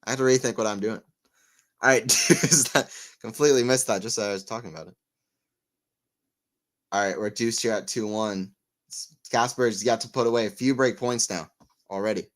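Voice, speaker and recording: A man giving live tennis play-by-play on a stream, chatting with viewers and getting excited on big points. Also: fairly low pitch, moderate pace, pauses often. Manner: excitable on rallies, rambling and self-mocking between points. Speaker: tennis watchalong commentator. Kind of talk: live play-by-play and casual chat.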